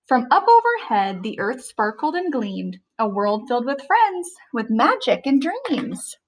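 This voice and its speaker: A middle-aged woman, a teacher, reading a rhyming storybook aloud in a gentle, sing-song way. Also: high-pitched, sing-song, unhurried.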